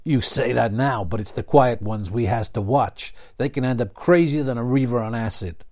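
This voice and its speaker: A man's voice: mid-range voice, normal speed, a little mellow, natural voice. A man doing a snarling Wild outlaw. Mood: menacing taunt. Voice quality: snarling, taunting, mocking. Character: sneering outlaw gang leader, male, cruel and mocking.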